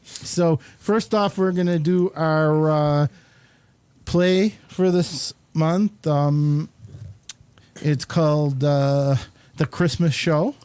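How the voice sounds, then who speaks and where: A man hosting a casual studio chat in a halting, rambling manner. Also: fairly high pitch, fairly even intonation, darkish tone, smooth, no echo. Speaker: host.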